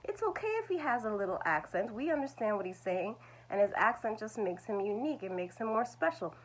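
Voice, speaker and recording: A woman's voice: medium pitch, sing-song, a little fast, poor audio. A woman, teaching language learners in a reassuring, repetitive way. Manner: reassuring, repeats "it's okay". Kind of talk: talking-head video lesson.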